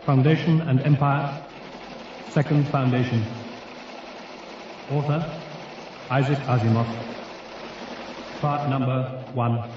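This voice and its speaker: An actor voicing a formal, measured encyclopedia narrator in a radio drama, with a heavy echo effect. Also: male voice, medium pitch, fairly even intonation, far from the mic. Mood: solemn, formal. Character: solemn encyclopedia voice reading entries. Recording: echoing, dull, noisy radio drama recording.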